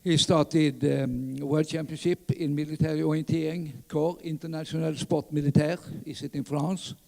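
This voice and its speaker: Older man, Swedish accent, gravelly, slightly high, halting with fillers, lectern mic, reverberant hall. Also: level tone, warm tone. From southern Sweden. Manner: halting, many fillers and restarts. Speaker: conference speaker. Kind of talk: reminiscing conference talk. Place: large conference hall.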